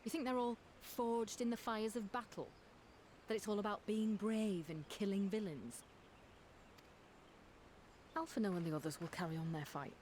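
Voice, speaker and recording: A woman's voice: mid-range voice, normal speed, pauses often, a little mellow, slight background noise, lightly acted. Actress as blunt, no-nonsense fantasy woman. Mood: scolding. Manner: blunt, chiding, colloquial. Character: blunt, no-nonsense female friend in fantasy world. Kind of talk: dramatic video game voice acting.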